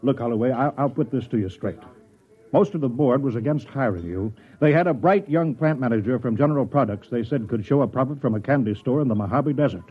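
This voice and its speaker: An actor playing a blunt, blustering university trustee in a 1950s radio comedy drama, with a gruff, folksy, hectoring manner. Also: male voice, medium pitch, fast talker, natural voice. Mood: brusque, condescending. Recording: muffled, lo-fi old radio broadcast.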